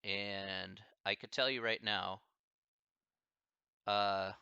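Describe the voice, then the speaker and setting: A man's voice: on the low side, fairly even intonation, very slow, creaky, sharp tone, clear, resonant tone, no room sound, highs cut off. A man with a Midwestern American accent, a math instructor chatting casually with his online class, thinking aloud with lots of fillers.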